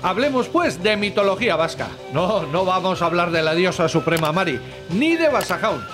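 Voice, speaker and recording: Lively high-voiced male narrator over loud music. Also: normal speed. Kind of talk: scripted documentary narration.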